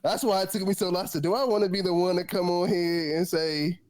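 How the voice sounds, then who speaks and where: A man's voice: high voice, fairly even intonation, fast, a little mellow. A man co-hosting a casual music podcast who laughs often and acts out the reactions he quotes. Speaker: podcast co-host. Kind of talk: casual chat.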